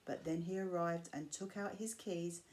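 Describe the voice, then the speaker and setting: A woman reading a rhyming picture book aloud to children, with measured, expressive phrasing and drawn-out key words. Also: lowish voice, low-quality recording. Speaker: storyteller reading to school pupils. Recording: clear background noise.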